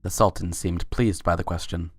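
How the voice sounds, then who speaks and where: Male narrator for scripted fiction reading: deep register, little pitch movement. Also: steady tone, moderate pace, no room sound, soft background music.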